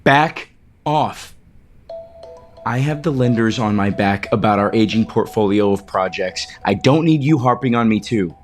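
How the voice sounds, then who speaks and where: An actor playing a defensive, insecure company chief in an audio soap opera, curt and emphatic. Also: male voice, on the low side, unhurried, natural voice. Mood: exasperated. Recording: music bed under the dialogue.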